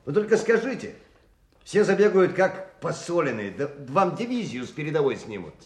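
An actor in a radio play voicing an eager, insistent army major who speaks in an excited, pressing way. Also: male voice, on the high side, moderate pace. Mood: urgent, pleading. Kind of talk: Soviet-style radio play acting. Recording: roomy echo, faint noise.

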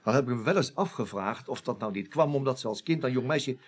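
A man's voice: medium pitch, animated, fast, slightly rough. An actor playing a cornered, evasive security man in a radio crime drama, stammering and defensive. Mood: hesitant, evasive.

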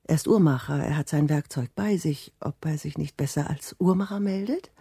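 An elderly wife in a radio drama, anxious and deferential. Her voice is dark and rough-edged, recorded very dry. She asks hesitantly but keeps pressing. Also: deep voice, moderate pace, no echo, heavily acted. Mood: anxious, polite. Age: older. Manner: hesitant, insistent, deferential questioning. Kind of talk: radio play acting.

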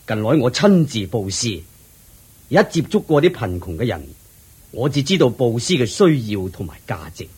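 An actor playing an elderly, generous lord in a radio drama, speaking in a slow, measured, approving way with a Hong Kong Cantonese accent. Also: male voice, medium pitch, very animated, darkish tone, husky. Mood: earnest. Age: older. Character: elderly kindly male lord, generous father-in-law.

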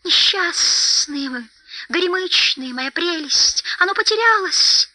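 A spiteful, wretched little cave creature from a storybook, acted by a woman. He hisses and whispers greedily to himself, stretching and repeating words. The pitch is high and leaps around, with a piercing, sharp edge. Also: animated, takes it slowly, full character voice, poor audio. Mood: frantic, wailing. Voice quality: hissing, whispery, shrieking when upset.

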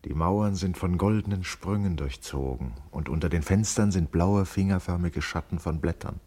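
An actor playing a brooding, reflective man in a poetic radio play, with a raspy voice. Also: low voice, moderate pace, mellow. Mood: wondering. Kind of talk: lyrical literary radio play monologue.

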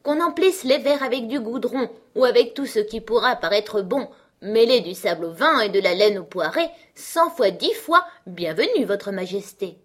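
A woman voicing a shrill storybook herald, declaiming festive nonsense verse in a singsong manner. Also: lively intonation, normal speed.

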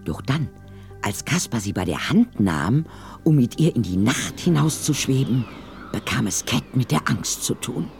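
A man tells a scripted story as narrator. His voice sits mid-range with animated melody and careful phrasing, and music plays faintly underneath. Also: medium pitch, a little slow, gravelly.

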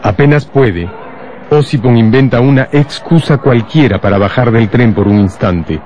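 A man's voice: mid-range voice, normal speed, darkish tone, highs cut off. A man narrating a literary radio drama from a script. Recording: faint music behind the voice.

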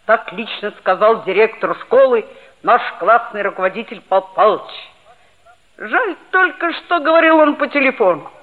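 A woman's voice: a little high, expressive, moderate pace, lightly acted. A woman playing a chatty, cheeky seventh-grade schoolboy who narrates a radio play. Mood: eager. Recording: old muffled narrow-band radio play recording.

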